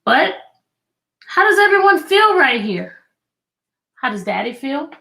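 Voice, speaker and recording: A woman with a somewhat high voice teaches through a picture-book read-aloud. She is expressive and deliberate, and she speaks directly to young listeners to prompt them. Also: gravelly. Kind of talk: children's read-aloud with questions.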